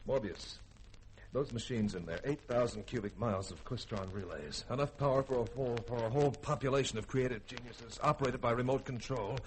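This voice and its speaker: A man's voice: medium pitch, fairly quick, close to an everyday voice. A man playing an earnest, commanding young spaceship officer, speaking insistently and dramatically in a 1950s radio drama. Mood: urgent explaining. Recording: noisy old radio recording.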